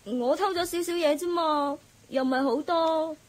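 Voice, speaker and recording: A woman's voice: sits high, normal speed, natural voice. Woman playing a meek contrite schoolgirl. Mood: subdued. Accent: Hong Kong Cantonese. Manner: meek, subdued.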